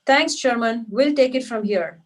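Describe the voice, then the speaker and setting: A woman's voice: fairly high pitch, normal speed. A woman narrating stage directions at a script table read.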